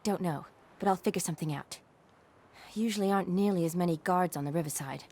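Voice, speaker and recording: A woman's voice: on the low side, quick pace, darkish tone. A woman voicing a plucky, resourceful young female friend in a video game. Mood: determined.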